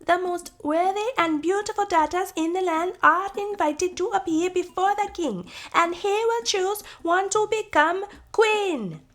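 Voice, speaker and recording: Storybook herald: formal royal messenger, played by a female narrator, very high, loud, declamatory. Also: unhurried, hardly any pauses. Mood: grand. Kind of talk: storybook character voice.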